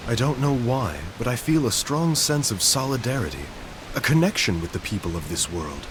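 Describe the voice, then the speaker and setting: An actor playing an ailing young male composer in a game cutscene, speaking softly and reflectively. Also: medium pitch, expressive, moderate pace, lightly acted. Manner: soft, reflective, searching delivery. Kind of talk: video game cutscene dialogue. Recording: hissy game audio capture.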